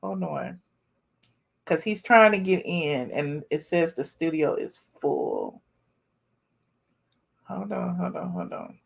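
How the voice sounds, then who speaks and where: A woman's voice: fairly low pitch, big pitch swings, slow, gravelly, long pauses, breathy edge. A woman hosting a live call-in stream, chatting casually and talking straight to her viewers. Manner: chatty, talks to viewers directly. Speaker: host of a live call-in show.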